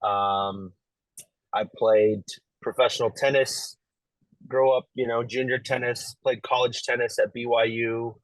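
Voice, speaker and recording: A young man from Las Vegas, a pro pickleball player, chatting as an interview guest in a relaxed, easygoing way. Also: medium pitch, a little slow, no echo, poor audio. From Las Vegas, USA. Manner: relaxed, easygoing, lots of fillers and light laughs. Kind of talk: casual interview talk.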